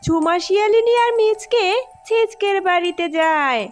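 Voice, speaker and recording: A woman's voice: very high voice, normal speed. A woman reading scripted narration for a cartoon fairy tale. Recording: music with chimes behind. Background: music and tinkling chimes.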